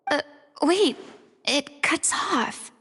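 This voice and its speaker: A woman playing an earnest, thoughtful young female investigator in a video game, speaking in a measured, pondering way. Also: sits high, animated, fairly bright tone, full character voice. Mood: solemn. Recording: long reverb with music underneath. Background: background music.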